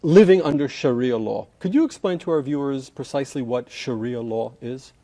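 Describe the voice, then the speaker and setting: A man's voice: mid-range voice, moderate pace, dark tone. A man hosting a formal interview as a professor, asking articulate questions and humming along as he listens.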